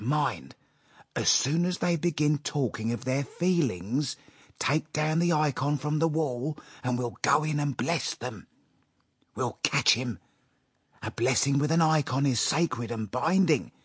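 A man playing an excitable scheming father in a story reading, declaiming pompously with drawn-out exclamations. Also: very high voice, creaky, dry recording, clean studio sound, voice pushed up, full character voice. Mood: urgent, excited.

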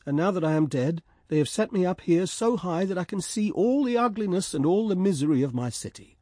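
A man's voice: sits high, expressive, fairly quick, mellow, no echo, studio quality, pitched above the performer's own voice. A man voicing a sorrowful golden statue of a dead prince, telling his story in a wistful, stately way.

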